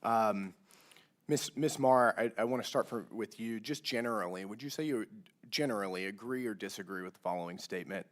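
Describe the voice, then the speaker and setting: Man, low pitch, voice worn by a cold, congressman, pointed questions at a hearing. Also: fairly quick. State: getting over a cold, voice partly lost.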